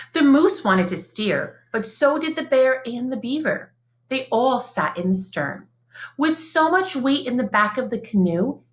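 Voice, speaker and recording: Lively woman reading a picture book. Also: fairly high pitch. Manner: expressive, sing-song storybook reading. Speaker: teacher reading aloud to children. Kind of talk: children's read-aloud storytelling.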